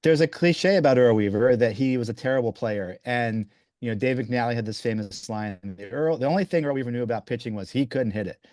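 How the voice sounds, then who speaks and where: A man's voice: mid-range voice, fairly quick, darkish tone, no echo. Guy in his 40s talking up his book, lots of restarts, dry close sound. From Belgium. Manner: enthusiastic, restarts often, says "you know". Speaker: author guest. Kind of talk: interview answers.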